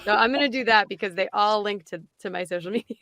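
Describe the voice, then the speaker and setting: A woman's voice: medium pitch, fast talker, fairly bright tone, no echo. A woman speaking as a voice actor guest in a casual roundtable chat.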